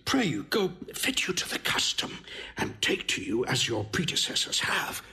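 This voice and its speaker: An actor playing an insistent Roman patrician giving counsel in Shakespearean verse. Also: male voice, fairly high pitch, wildly swooping pitch, moderate pace, gravelly, sharp tone, full character voice. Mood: urgent counsel. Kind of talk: classical Shakespearean stage acting.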